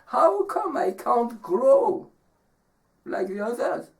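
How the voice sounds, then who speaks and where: A storyteller voicing a small, twisted, envious tree in a folk tale, with plaintive, drawn-out words. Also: mid-range voice, sing-song, vocal fry, far from the mic, pitched above the performer's own voice, exaggerated voice. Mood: sad, plaintive.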